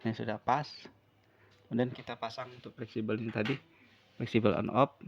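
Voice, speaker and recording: A man's voice: mid-range voice, flat delivery, a little fast, gravelly, lo-fi sound. A man gives an unscripted hands-on repair tutorial, explaining step by step and often repeating himself. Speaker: phone repair tutorial presenter.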